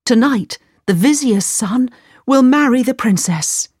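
A woman's voice: on the high side, expressive, a little slow, darkish tone, slightly rough, no echo, clean studio sound, pitched above the performer's own voice. A woman voicing the hero's worried mother, speaking with urgency in a storybook reading. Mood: urgent.

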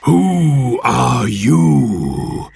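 A huge, brutish one-eyed giant from a storybook. The words come out in slow, menacing bellows that swing widely in pitch, with gravel in the voice. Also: male voice, expressive, bright, forceful tone, a little hiss or hum, heavily acted. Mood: angry, suspicious. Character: huge hairy one-eyed Cyclops, brutish, menacing man-eating monster. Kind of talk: dramatised children's storybook.

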